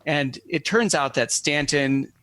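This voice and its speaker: A man's voice: a little high, a little slow, fairly bright tone, dry recording. Scholarly man explaining, dry close sound. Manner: hesitant, digressive, scholarly phrasing. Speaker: professor, expert guest. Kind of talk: academic explanation in an interview.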